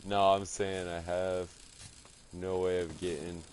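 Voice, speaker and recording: A man's voice: low voice, little pitch movement, slow, a little hiss or hum. A man from California streaming a game, chatting in a crude, rambling, heavily swearing way. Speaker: gaming streamer. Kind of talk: casual profane banter while gaming.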